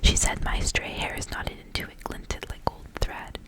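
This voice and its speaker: Woman, full whisper, ASMR storybook reading, hushed literary narration. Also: a little slow. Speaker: ASMR reader.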